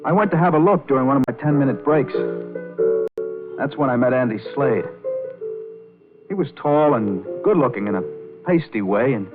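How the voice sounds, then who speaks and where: A man plays a self-assured nightclub piano player in a vintage radio crime drama. His voice is mid-pitched, unruffled and wry, on a thin, narrow-band recording with music. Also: animated, moderate pace, pauses often, close to an everyday voice. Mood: wry, storytelling. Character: cool, self-assured male club pianist narrating a crime story. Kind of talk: old-time radio drama acting and first-person narration. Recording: tinny old radio recording with music behind.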